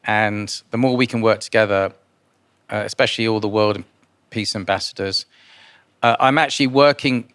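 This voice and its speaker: Low, clear-voiced man, halting interviewee. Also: fairly even intonation, fairly bright tone. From born in Guyana. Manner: hesitant, halting delivery with repeated words. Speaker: interviewee, philanthropist and investor. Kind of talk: informal interview answers.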